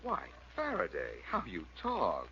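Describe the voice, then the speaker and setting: An actor playing a cocky, sardonic amateur detective in a vintage radio drama, on a dull, hissy old recording. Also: male voice, on the low side, huge pitch swings, a little slow, pushed and bright, full character voice. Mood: teasing, cocky. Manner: sardonic, taunting, self-assured. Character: cocky, wisecracking amateur detective, reformed rogue.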